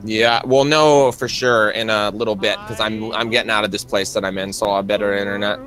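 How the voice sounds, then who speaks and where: A man's voice: fairly low pitch, fairly even intonation, a little fast, fairly bright tone, faint music behind. A man hosting a livestream, chatting casually with his viewers. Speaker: livestream host.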